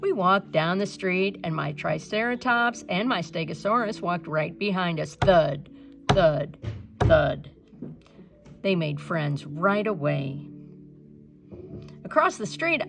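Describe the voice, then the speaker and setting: A woman reading a children's storybook aloud in an expressive narrating style, with some creak in the voice. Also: on the low side, slow, soft background music. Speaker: storybook reader.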